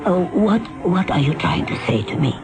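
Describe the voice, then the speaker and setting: Formal professor woman, stubborn and dignified, old radio mystery, loud music. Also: lowish voice, huge pitch swings. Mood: puzzled, uneasy. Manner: formal, measured, unyielding. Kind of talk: 1970s radio drama acting.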